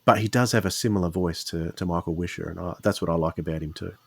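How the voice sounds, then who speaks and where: A man's voice: low-pitched, quick pace, vocal fry, husky. A man hosting a podcast, chatting casually in a rambling, hesitant way and often correcting himself. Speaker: podcast host. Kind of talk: casual conversational podcast talk.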